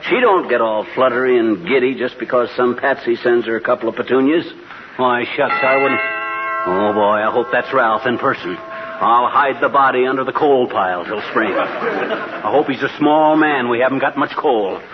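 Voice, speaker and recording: An actor playing a blustering, boastful, middle-aged husband in a 1940s radio comedy. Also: male voice, mid-range voice, normal speed, music in the background, close to an everyday voice. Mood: grudging, scheming. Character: blustering, boastful, jealous husband, teller of tall tales. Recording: muffled, very noisy 1940s radio recording.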